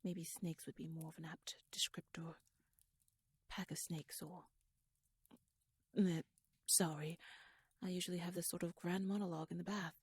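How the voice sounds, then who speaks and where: Casting: actress as wry, scheming royal lady for ASMR roleplay; husky, breathy voice, formal and wordy, very close dry sound. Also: fairly low pitch, little pitch movement, normal speed, pauses often, darkish tone, no echo. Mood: musing, confiding. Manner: wordy, formal, rhetorical, musing. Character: shrewd, talkative, scheming royal noblewoman, playfully wry. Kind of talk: ASMR audio roleplay monologue addressed to the listener.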